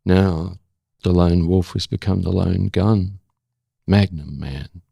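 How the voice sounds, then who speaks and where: An older Australian man with a gravelly voice, speaking as an interview guest and former correspondent. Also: sits low, fairly even intonation, takes it slowly, warm tone, no echo, clean studio sound. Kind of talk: dramatic spoken-word recital of own prose, then reflective conversation.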